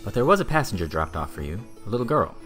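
A man playing a brisk, wry, offhand small-town station master in an audiobook. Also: on the low side, very animated, over music. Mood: brisk, matter-of-fact. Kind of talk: audiobook character acting.

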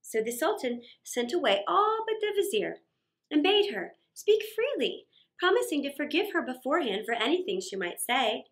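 A middle-aged woman reading a story aloud for children, expressive, with theatrical pauses and emphasis. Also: high-pitched. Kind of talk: dramatic read-aloud story narration for children. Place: small indoor set.

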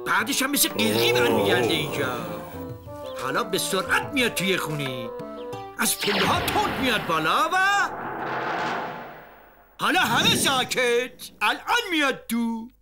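An actor playing a boastful, excitable master in a comic cartoon, with a theatrical, exclamatory delivery. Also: male voice, high voice. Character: boastful, excitable male master envious of his rival. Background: music.

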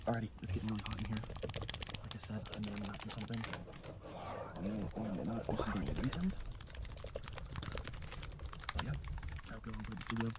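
A man's voice: fairly low pitch, fast talker, long pauses. A man hosting an ASMR video, chatting casually to his viewers. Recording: loud noise, clicking.

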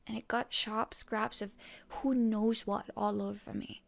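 A woman playing a proud, prickly, wounded hero girl in a whispered ASMR roleplay, suspicious and defiant toward her enemy, with a hushed, breathy voice. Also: on the high side, flat delivery, a little slow. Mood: prickly, stubborn.

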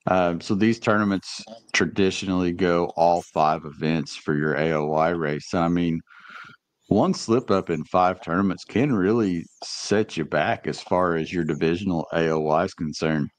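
Deep-voiced man hosting a podcast, dry sound. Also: moderate pace, no echo. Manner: friendly, explanatory questioning. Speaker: podcast host. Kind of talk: casual podcast interview.

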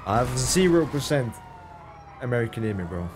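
A man's voice: medium pitch, big pitch swings, normal speed, noisy background. A young man streaming a game live, reading chat aloud and answering it with incredulous, laughing banter.

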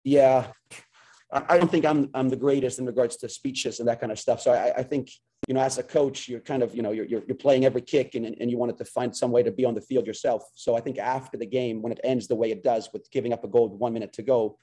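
A man's voice: medium pitch, steady tone, quick pace, dark tone, husky. A man in his forties, a head coach answering questions after a game over a video call from a small locker room, earnest and restarting his sentences. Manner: earnest, repeats words when starting sentences. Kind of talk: post-game press conference answers. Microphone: video call webcam.

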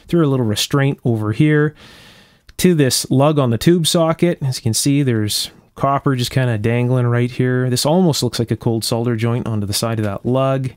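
A man's voice: mid-range voice, darkish tone, no room sound. Man narrating repairs, dry close sound. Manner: careful, step-by-step explaining. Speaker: electronics restorer and video host. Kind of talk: casual hands-on repair narration. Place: indoor electronics workshop at a bench.

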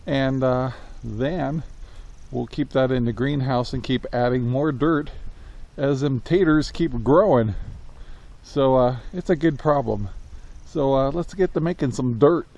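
A man's voice: medium pitch, unhurried, vocal fry, a little mellow, dull audio. Easygoing older man vlogging outdoors. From United States. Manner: easygoing, rambling with many "uh" fillers. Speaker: homesteading vlogger. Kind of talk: casual how-to vlog. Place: outdoors in a yard and inside a greenhouse. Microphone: camera held at arm's length.

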